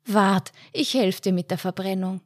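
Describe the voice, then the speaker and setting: A woman's voice: medium pitch, moderate pace, no echo, studio quality, natural voice. Woman voicing a fussing, loving old mother. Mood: fussing concern. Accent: Austrian. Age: older. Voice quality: soothing, loving sternness. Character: older mother, tender but firm, fussing.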